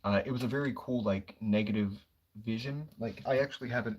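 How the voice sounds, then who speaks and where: A young man talking quietly and casually on a livestream, rambling about comic books with lots of fillers. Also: medium pitch, brisk, dull audio, a little hiss or hum. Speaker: comic book streamer.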